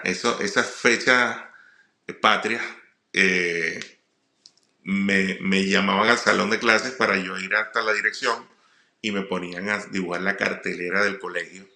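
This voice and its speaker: Low, gravelly Venezuelan man. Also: bright, forceful tone. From Puerto La Cruz, eastern Venezuela. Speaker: comedian, guest speaker. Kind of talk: personal storytelling monologue. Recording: roomy echo.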